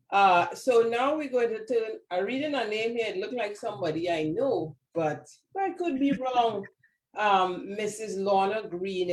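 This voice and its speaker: A story slam host, giving lively, exclaiming remarks and thanks between stories at a virtual event. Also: mid-range voice, roomy sound, rough recording. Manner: animated, exclaiming, warmly effusive with thanks. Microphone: video call.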